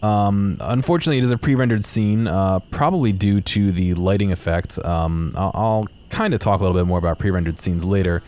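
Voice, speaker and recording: A man's voice: low voice, fairly quick, few pauses, dark tone. A man giving casual, unscripted video game commentary, hesitantly thinking aloud as he goes.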